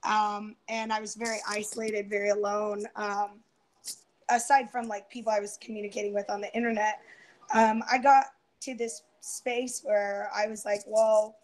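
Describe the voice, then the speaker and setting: Soft, hesitant young woman losing her voice. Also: medium pitch, level tone, normal speed, rough recording. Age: 20s. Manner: soft-spoken, hesitant, many ums and restarts. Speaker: guest on a live round-table stream. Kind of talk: casual personal sharing. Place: indoors, home room. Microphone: earphone headset on a video call.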